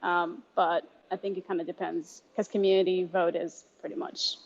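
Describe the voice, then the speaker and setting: A woman's voice: lowish voice, little pitch movement, normal speed. A woman talking casually and unscripted over dull, lo-fi online audio.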